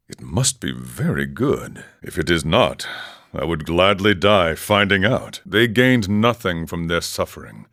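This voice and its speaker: A man voicing an older, serene and wry wandering ascetic monk in a video game, speaking in a solemn, measured, philosophical way. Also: sits low, a bit bright, full character voice. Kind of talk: scripted game character dialogue.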